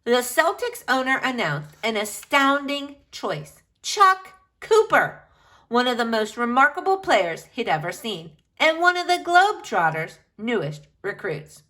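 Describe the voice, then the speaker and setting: A woman reading a children's book aloud in an expressive, emphatic way, drawing out key words for young listeners. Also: a little high, unhurried.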